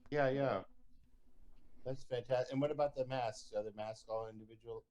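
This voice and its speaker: A man in his fifties with an American accent hosts in a mellow, relaxed tone. He rambles casually and doubles back on words. The audio is a little dull. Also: mid-range voice. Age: 50s. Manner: halting, rambling, repeats words. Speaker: podcast host. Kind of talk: casual chat.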